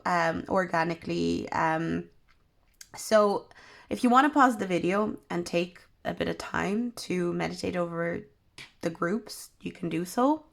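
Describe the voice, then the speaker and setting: A woman's voice: mid-range voice, vocal fry. Woman tarot reader who has lost her voice. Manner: soft, meandering, many ums. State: losing her voice, unwell. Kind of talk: pick-a-card tarot reading.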